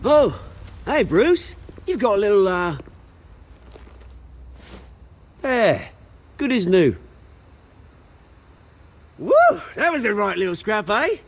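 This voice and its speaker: Volatile, swooping British scrapper. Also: male voice, high voice, very animated, slow, long pauses, exaggerated voice. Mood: cheeky, brash. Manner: theatrical, cocky, slangy. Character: bitter, scrappy fallen rich man, childhood friend turned volatile. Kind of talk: video game voice acting.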